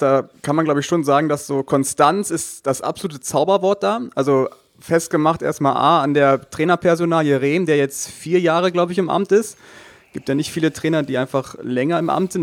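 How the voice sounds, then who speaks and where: A man's voice: a little high, steady tone, a little fast, no echo. A man giving structured football analysis, methodical and laying out his points one by one. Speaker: football pundit.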